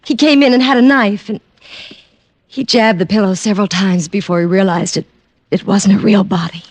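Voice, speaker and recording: A woman's voice: medium pitch, fairly even intonation, moderate pace, lightly acted. An actress playing a seductive woman client who claims her husband wants to kill her, in a 1950s radio drama. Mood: anxious. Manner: sultry, suggestive. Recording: old radio broadcast recording.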